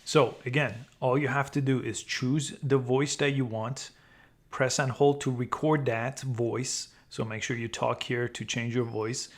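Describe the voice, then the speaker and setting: A man hosting a tutorial, explaining step by step how to use an app feature. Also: medium pitch. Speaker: tutorial host. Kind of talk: how-to screen tutorial narration.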